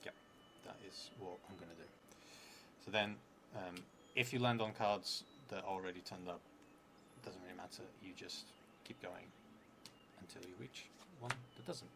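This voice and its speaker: Man in his thirties, low gravelly voice, halting solo roleplay narration, murmured asides, noticeable background noise. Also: a bit bright. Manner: halting, musing, trailing into murmurs. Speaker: solo tabletop roleplayer streaming. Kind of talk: thinking aloud while playing and reading out a solo roleplaying game.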